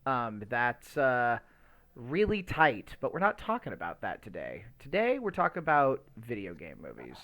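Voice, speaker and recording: A man's voice: medium pitch, wildly swooping pitch, moderate pace, sharp tone. Male podcast host, mid-range voice, loose and unscripted, rambles and stammers, swears freely. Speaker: podcast host and live streamer. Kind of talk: casual unscripted podcast chat.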